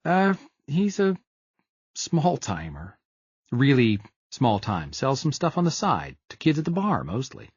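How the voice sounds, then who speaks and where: A man's voice: medium pitch, wildly swooping pitch, a little slow, breathy edge, no room sound, highs cut off, full character voice. A male narrator voicing a jittery, fidgety man who keeps pleading to be trusted. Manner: pleading, insistent.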